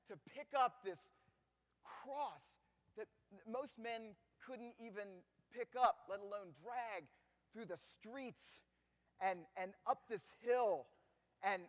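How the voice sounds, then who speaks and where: A man performing a dramatic church monologue as a grieving, doubting disciple, halting and stammering, swinging from hushed to loud. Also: sits high, long reverb tail, heavily acted. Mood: troubled. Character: grieving doubting male disciple, middle-aged, earnest. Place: church hall.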